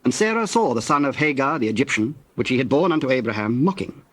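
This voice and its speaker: A man's voice: medium pitch, sing-song, quick pace, breathy edge, no room sound. Solemn male narrator, dry sound. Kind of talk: scripted scripture narration.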